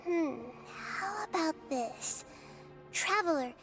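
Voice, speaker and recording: A woman playing a small, childlike deity in a video game, gentle and wise, with a calm, measured, reassuring manner. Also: very high voice, music in the background, exaggerated voice, rough recording. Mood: calm, thoughtful. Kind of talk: scripted video game voice acting.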